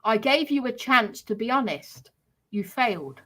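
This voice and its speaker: A woman's voice: a little high, animated, a little slow, pushed and bright, poor audio. A woman live streamer delivering a confrontational monologue in an accusatory, emphatic, repetitive manner.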